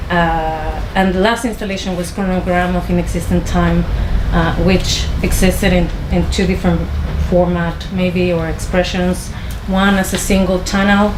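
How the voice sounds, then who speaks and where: A woman with a Chilean Spanish accent speaks to a small audience, often pausing and restarting her sentences. Her voice sits low for a woman. The room is echoey, with clear noise behind her. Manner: hesitant, many pauses and restarts. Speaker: filmmaker introducing her screening. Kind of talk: informal talk to an audience. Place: small film venue.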